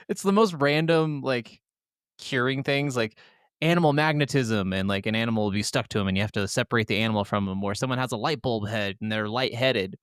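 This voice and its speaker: A man's voice: medium pitch, brisk, fairly bright tone, clean tone, no room sound, studio quality. A man co-hosting a podcast, chatting casually about video games. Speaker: podcast co-host.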